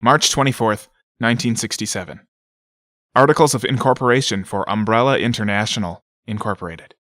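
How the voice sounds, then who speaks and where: A man's voice: fairly low pitch, fairly quick, fairly bright tone, no room sound, clean studio sound, natural voice. A man playing an officious corporate announcer in an audio drama, reading in a clipped, formal, authoritative way. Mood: officious, clinical. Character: officious male corporate announcer of a sinister company.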